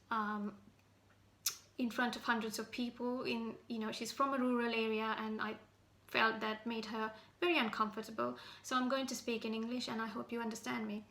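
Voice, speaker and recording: A Sri Lankan woman speaks in a bright, forceful voice that sits fairly high and stays quite level. She addresses her listener directly with earnest, halting criticism, like a citizen activist. The room around her sounds echoey. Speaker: citizen activist giving an open message. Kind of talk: direct spoken address to camera, semi-prepared.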